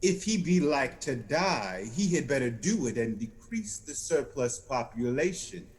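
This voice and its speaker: A solemn spirit of Christmas in an audio drama, stern and declamatory. The delivery is slow, with big swings of pitch for weight. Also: male voice, sing-song, darkish tone. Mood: stern, grave. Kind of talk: audio play acting, old radio drama style.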